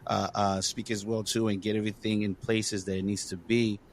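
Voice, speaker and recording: A man's voice: lowish voice, level tone, fairly quick, slight background noise. A man from Congo hosting a podcast, talking earnestly and encouragingly in casual conversation, with lots of fillers. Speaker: podcast host.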